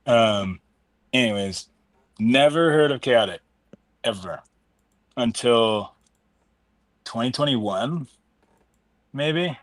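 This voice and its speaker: A man's voice: mid-range voice, animated, slow, pauses often, no room sound. A man in his thirties telling his collecting story in a casual group video call, rambling and restarting often. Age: 30s. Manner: rambling, self-interrupting, enthusiastic. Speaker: collector and card seller.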